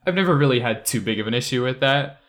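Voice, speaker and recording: A man's voice: medium pitch, expressive, fast talker, fairly bright tone. A man leading a casual group discussion as a podcast host.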